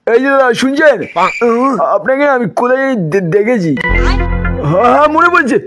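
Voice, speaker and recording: A squeaky, very high-pitched cartoon prankster talks crudely and mockingly. The delivery is exaggerated and brash. Loud music plays behind the voice. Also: male voice, expressive, moderate pace. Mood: cheeky, crude. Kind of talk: comic cartoon skit dubbing.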